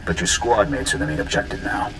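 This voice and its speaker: An actor playing a steady military officer giving a briefing in a video game cutscene, in an echoing recording. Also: male voice, fast, close to an everyday voice. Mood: businesslike. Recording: roomy echo, music behind.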